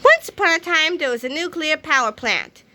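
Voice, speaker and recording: A woman reading a children's book aloud as an animated storyteller. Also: high voice, normal speed, very noisy.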